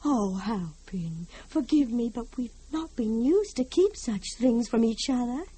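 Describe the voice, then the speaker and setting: Haunted older mother, radio mystery. Slow, drawn-out, husky delivery; fragile, wheedling, coming unhinged. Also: a little high, animated, warm tone, poor audio. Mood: anguished, foreboding. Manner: theatrical, wheedling, drawn-out pleading. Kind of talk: old-time radio drama acting.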